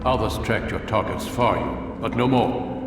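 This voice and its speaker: A man's voice: lowish voice, natural voice. Man acting a stern old master in an echoing hall. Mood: stern, measured. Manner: grave, commanding, lecturing, deliberate. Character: stern old male master of an assassin brotherhood. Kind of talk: dramatic video game cutscene acting. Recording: reverberant hall, distant voice, music underneath.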